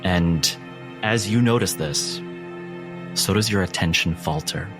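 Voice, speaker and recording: A man with a low voice runs a horror tabletop game as game master. He tells it in a measured, suspenseful way, leaving deliberate pauses, with music playing clearly behind him. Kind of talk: narrating a horror tabletop roleplay. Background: background music.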